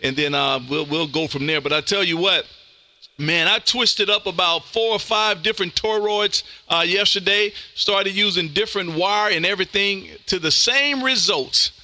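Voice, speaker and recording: A high-pitched American man with a very bright, forceful voice chats casually as a ham radio host. His talk is folksy and full of radio jargon. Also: normal speed, no echo. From Texas, United States. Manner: folksy, says "man" often, radio call-sign jargon. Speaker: amateur radio livestream host.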